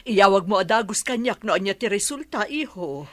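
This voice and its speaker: A woman's voice: medium pitch, animated, normal speed, a bit bright, dry recording. An actress with a Filipino accent playing a concerned, understanding mother who gives advice in a radio drama. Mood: concerned, advising. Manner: advising, motherly. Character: concerned, understanding mother advising her son.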